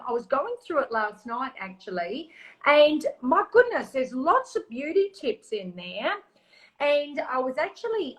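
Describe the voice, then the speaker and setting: A woman's voice: sits high, a bit bright, reverberant, rough recording. A woman with an Australian accent hosting a casual live-stream interview, chatty and easygoing, with lots of ums. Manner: chatty, filler-heavy, easygoing. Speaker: host.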